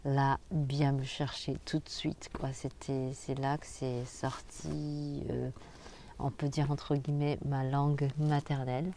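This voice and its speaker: A woman hosting a spiritual channel, talking casually and directly to the viewer in a soft, halting way with frequent hesitations. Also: very deep voice, little pitch movement, a little hiss or hum. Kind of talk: casual spoken vlog monologue.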